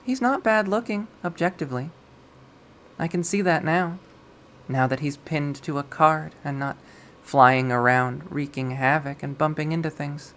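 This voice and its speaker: A reader voicing an obsessive, possessive young woman who collects insects, narrating in a measured, wistful, coldly sadistic way for a visual novel. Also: medium pitch, a bit bright. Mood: possessive, menacing. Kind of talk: dramatic visual novel readthrough.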